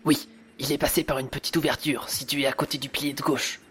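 A man's voice: mid-range voice, level tone, brisk, fairly bright tone, close to an everyday voice. Man acting a nervy, grumbling inspector over music. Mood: uneasy, grumbling. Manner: exasperated, sardonic, nervy. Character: grumbling, anxious police inspector, sardonic man. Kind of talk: French audio drama acting. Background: dramatic music.

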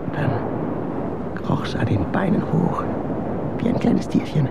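An actor in a radio drama playing an exhausted, brooding man, murmuring a halting, bitter monologue. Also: very animated, creaky, heavily acted. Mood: brooding, wistful. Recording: loud rumbling noise around voice.

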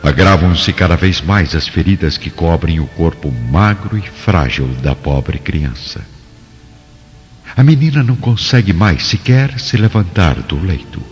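A man's voice: sits low, animated, measured pace. A man narrating a scripted radio drama in a solemn, theatrical manner. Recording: dull, reverberant recording with music.

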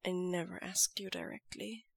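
A woman voicing a hushed, reserved young man. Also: fairly even intonation, vocal fry, no room sound, studio quality. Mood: quiet tender. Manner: low, hushed and measured.

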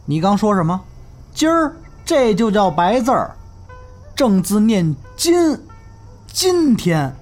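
Audio drama: easygoing young crosstalk lad, teasing, swooping intonation, music underneath. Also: male voice, high-pitched, sing-song, takes it slowly, exaggerated voice. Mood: teasing, animated. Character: easygoing, chatty young male crosstalk performer, helpful friend. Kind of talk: Chinese audio drama acting.

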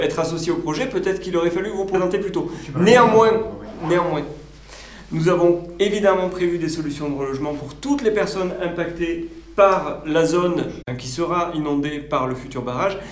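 A man playing an officious village mayor in a radio fiction, formal, placating and halting. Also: a little high, lightly acted. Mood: firm, defensive. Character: officious village mayor defending his project. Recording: echoey meeting room.

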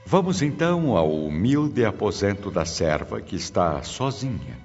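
Narrator for radio drama: man, very low register, formal and dramatic, muffled recording over clear music. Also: very animated, measured pace, darkish tone. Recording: dull lo-fi audio with music behind.

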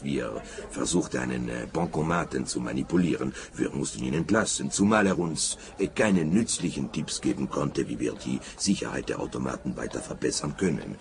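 An actor in a radio play playing a self-important, evasive bank director who talks in a halting, stuffy way. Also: male voice, very deep voice, expressive, gravelly, crowd noise, exaggerated voice. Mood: evasive, dismissive. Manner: halting, stuffy, full of drawn-out hesitations.

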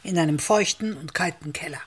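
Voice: medium pitch, a little fast, breathy edge, natural voice. An actor playing a subdued, meek innkeeper in a radio drama, with an Austrian accent, answering in short, resigned replies.

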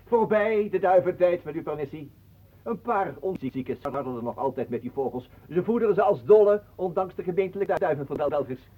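An actor playing a pompous, obsequious, scheming artist in a radio play, speaking in a mannered, formal way. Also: male voice, high-pitched, lively intonation, a little fast. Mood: unctuous, formal. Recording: muffled, narrow-band old radio recording.

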